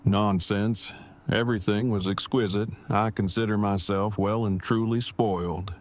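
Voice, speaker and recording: A man's voice: lowish voice, steady tone, a little slow, lightly acted. A man acting in an audio drama as a formal, precise man in his thirties who speaks in long, pedantic phrases and is devoted to his partner. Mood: content, affectionate.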